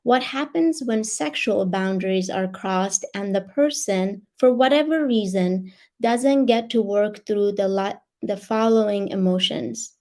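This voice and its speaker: Raspy woman. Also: mid-range voice, measured pace, few pauses, dark tone. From Iran. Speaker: guest author and life coach. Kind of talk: personal sharing and reading aloud. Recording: online video call.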